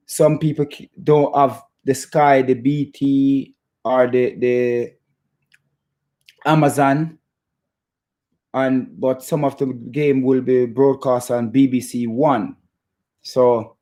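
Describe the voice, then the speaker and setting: Jamaican man, halting casual football chat. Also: mid-range voice, warm tone. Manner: repeats phrases, halting, stop-start delivery. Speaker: football fan channel host. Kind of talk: casual chat to live viewers about football.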